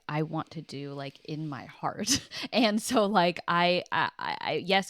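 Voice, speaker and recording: A woman's voice: sits low, no room sound. A woman in her thirties speaking earnestly and emphatically in a reflective, conversational interview as a guest. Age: 30s. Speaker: podcast guest, writer.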